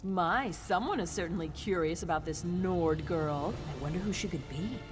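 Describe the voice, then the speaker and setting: An actress playing a nosy, insinuating town gossip in a fantasy game, coy and conspiratorial. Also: female voice, on the low side, lively intonation, moderate pace, over music, lightly acted. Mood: curious, conspiratorial. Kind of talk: game dialogue acting.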